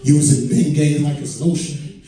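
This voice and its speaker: A man's voice: a little high, fairly even intonation, measured pace, dark tone. A man in his mid-forties performing stand-up comedy to an audience, with profane, conversational storytelling. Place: indoor comedy venue. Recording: very echoey live room, distant mic.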